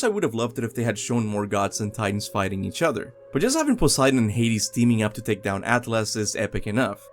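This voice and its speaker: A young man narrating a scripted video game countdown as host, with music behind him. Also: medium pitch.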